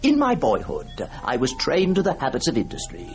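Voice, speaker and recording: A polite, matter-of-fact gentleman narrates a macabre radio story. His speech is formal and mannered, with hugely varied pitch, and faint music plays behind him. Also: wildly swooping pitch, moderate pace. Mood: primly matter-of-fact. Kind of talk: theatrical radio reading of a satirical story.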